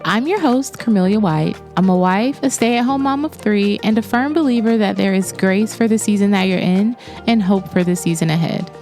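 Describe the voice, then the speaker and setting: Raspy-voiced woman podcast host. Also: medium pitch, moderate pace, few pauses, darkish tone, faint music behind. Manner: chatty, personal, self-deprecating. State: raspy voice after an illness. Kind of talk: casual solo podcast talk.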